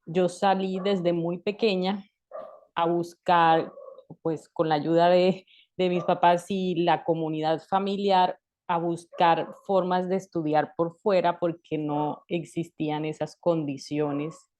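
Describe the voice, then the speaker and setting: A woman in her thirties from Colombia, a guest in an interview, talking in a reflective way with restarts and soft laughs. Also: on the low side, fairly even intonation, slow pace. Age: 30s. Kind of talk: conversational interview.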